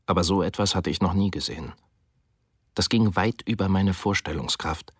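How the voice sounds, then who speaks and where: An actor reading the diary of a shaken war reporter for a radio drama, solemn and deliberate. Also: male voice, deep voice, slightly rough, no echo, clean studio sound. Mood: grave.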